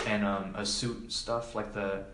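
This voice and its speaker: A man's voice: fairly low pitch, little pitch movement, unhurried, a bit bright. A man speaking as an interview guest in a casual, informal, hesitant way, with lots of filler words. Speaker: podcast guest, film production assistant. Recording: echoey room, background noise.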